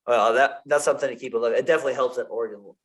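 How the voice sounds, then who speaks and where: A man's voice: mid-range voice, racing, fairly bright tone. A man hosting a casual sports podcast, rambling with fillers and restarts. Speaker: podcast host.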